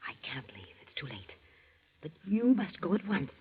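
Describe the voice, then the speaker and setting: An actress playing a fearful, secretive woman giving hushed, ominous warnings in a 1940s radio thriller, on a tinny old recording. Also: fairly low pitch, very animated, moderate pace, slight background noise. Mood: hushed dread. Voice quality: very breathy, whispery.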